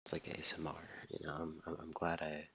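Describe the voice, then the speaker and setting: A young man in his late teens talking softly, almost whispering, with a halting way of repeating words, recorded close to the mic in his dorm room. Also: extremely low pitch, completely flat delivery, gravelly, slightly rough, lo-fi sound. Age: young adult. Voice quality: soft, near-whispering voice. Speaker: vlogger. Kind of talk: soft-spoken personal vlog. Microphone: close mic, wet mouth sounds.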